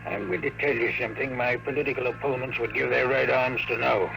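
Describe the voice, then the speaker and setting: Casting: male actor for ailing old American big-city boss, creaky hushed hoarseness, weary and grave, old radio with faint noise. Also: mid-range voice, a little fast, lightly acted. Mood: grave, confiding. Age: older. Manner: confiding, grave, throat-clearing. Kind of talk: 1950s radio drama acting. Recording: faintly noisy vintage radio recording.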